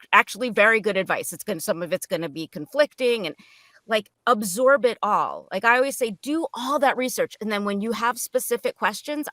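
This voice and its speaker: A middle-aged woman with a bright, clear-cut edge to her voice, recorded dry and close. She talks informally and chattily, offering encouragement as a guest in a casual panel conversation. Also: mid-range voice, dry recording. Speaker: guest voice actor. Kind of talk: casual roundtable chat.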